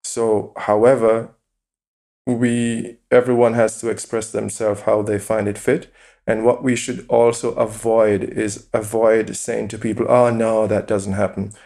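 A man as a podcast guest, calmly explaining his view with examples and rhetorical questions. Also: on the low side, measured pace. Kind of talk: interview conversation.

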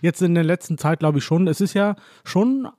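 A man's voice: on the high side, lively intonation, normal speed, mellow, no room sound, clean studio sound. A man from northern Germany, a guest chatting casually and thoughtfully in an interview, playing along with jokes.

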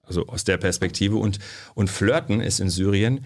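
Low-voiced chatty German man. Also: moderate pace, a little mellow. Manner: chatty storyteller, frequent fillers. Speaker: guest, sociologist. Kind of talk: relaxed interview conversation. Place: studio.

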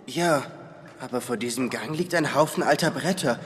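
A man's voice: medium pitch, normal speed, slight background noise, natural voice. A man playing a naive, hungry young boy toy figure in a German children's audio drama. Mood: puzzled.

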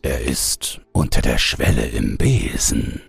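A man voicing a sly, sinister deathless old villain in a fairy tale, with a breathy, gravelly rasp and a slow, menacing delivery. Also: rumbling low, sing-song, faint music behind, voice pushed down, heavily acted. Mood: assured, evasive.

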